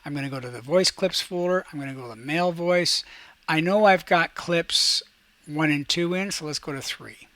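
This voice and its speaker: A man teaching a class, talking casually through each step of a software tutorial and checking in as he goes. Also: a little high, normal speed, no room sound. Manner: talks through each step while demonstrating, checks in with "okay".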